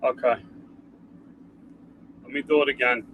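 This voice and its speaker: An older man with a congested nasal voice, talking in a rambling, halting way in a casual home video update. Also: medium pitch, fairly even intonation, phone-quality sound, slight background noise. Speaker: vlogger. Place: outdoors.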